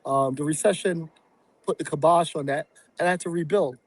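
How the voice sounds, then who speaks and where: A man from Barbados, a guest on a podcast, talking through his plans in a reflective, halting way with many fillers. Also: fairly high pitch, no echo. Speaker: real estate investor and guest. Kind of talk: casual podcast interview.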